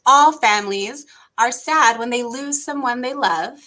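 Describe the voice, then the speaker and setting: High, bright-voiced woman leading children's story time. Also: unhurried. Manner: encouraging, simple instructions addressed to children. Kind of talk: interactive read-aloud for young children.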